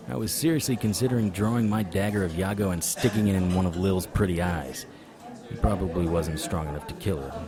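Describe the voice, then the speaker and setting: A man's voice: low voice, close to an everyday voice. A man playing a weary, sardonic occult investigator in a noir audio drama, with a dry, wisecracking delivery. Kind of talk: noir audio drama acting with first-person narration. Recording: crowd chatter behind the voice. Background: crowd chatter.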